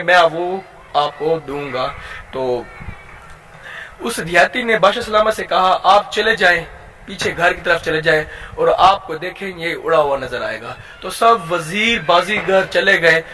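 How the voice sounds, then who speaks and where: A man with a Pakistani accent telling a moral tale informally, as a channel host, haltingly and with repeated phrases. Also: fairly high pitch, pushed and bright, low-quality recording. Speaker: YouTube storyteller and channel host.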